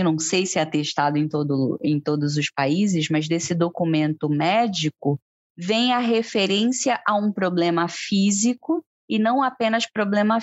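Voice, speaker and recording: A woman with a Brazilian Portuguese accent co-hosts a podcast in a conversational, explanatory way, often turning questions to her partner. Her voice sits somewhat low. The recording is dry and slightly dull. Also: dry recording. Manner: explanatory, asks co-host questions. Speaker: podcast co-host.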